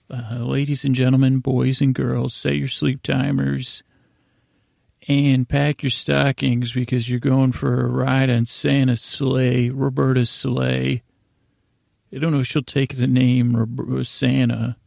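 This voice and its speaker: A man with a dark, mellow and deeply creaky voice hosts a bedtime podcast. He meanders and doubles back on his sentences with many false starts. The sound is dry and close. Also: no room sound. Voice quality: gravelly, creaky. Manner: meandering, halting, self-correcting with repeated false starts. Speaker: podcast host. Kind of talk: rambling bedtime podcast intro.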